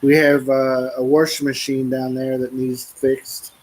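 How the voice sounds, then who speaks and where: A middle-aged man from the American Midwest chatting casually with viewers from the couch at home, easygoing and quick to laugh. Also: medium pitch, flat delivery, a little mellow, poor audio. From United States. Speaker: vlogger. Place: living room at home.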